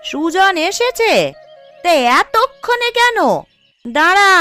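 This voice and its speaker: A woman playing a sharp-tongued, scheming middle-aged village mother and aunt in a cartoon, bossy and sarcastic. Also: very high-pitched, animated, slow pace, faint music behind, heavily acted. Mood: angry, sarcastic. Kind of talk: Bengali cartoon voice acting.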